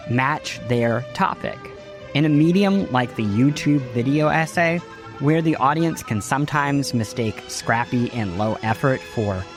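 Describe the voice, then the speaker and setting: A male podcast host with a slightly high voice and very lively intonation that swings up and down. He delivers scripted narration clearly and with emphasis, with music audible at times behind him.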